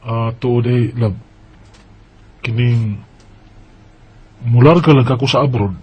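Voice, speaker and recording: A man's voice: mid-range voice, takes it slowly, mellow, breathy edge. A man acting an earnest, hesitant Bisaya partner who explains his plans in a colloquial radio drama style. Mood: coaxing, earnest. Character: earnest Bisaya man saving for land and marriage. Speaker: radio drama lead and letter narrator. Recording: dull lo-fi radio drama recording.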